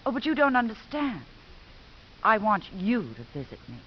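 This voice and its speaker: An actress playing a brazen, scheming ex-wife in a 1950s radio drama. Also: female voice, a little high, very animated, normal speed. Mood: coy. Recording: muffled, noisy 1950s radio recording.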